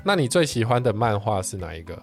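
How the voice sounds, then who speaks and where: A man co-hosting a chat with children, asking gentle, curious follow-up questions. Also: lowish voice, big pitch swings, fast, faint music behind. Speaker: co-host.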